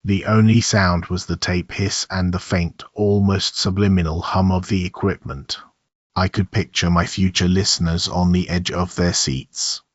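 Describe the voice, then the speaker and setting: A man's voice: low-pitched, steady tone, moderate pace. A man reading a scripted horror story as its narrator. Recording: muffled, roomy echo.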